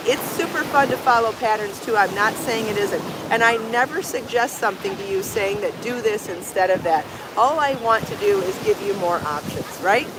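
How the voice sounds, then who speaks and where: A middle-aged woman hosting a casual livestream, rambling enthusiastically and repeating herself, with a slightly hoarse voice. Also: mid-range voice, background noise. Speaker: show host and crochet book author. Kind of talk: casual livestream chat to viewers. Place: outdoors on a sandy beach by the sea. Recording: outdoor recording with loud ocean surf. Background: waves and surf.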